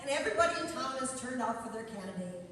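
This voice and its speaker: A woman's voice: fairly high pitch, expressive, fast talker, a crowd in the background. A woman giving scripted narration for a live radio play. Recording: echoey noisy hall, distant mic.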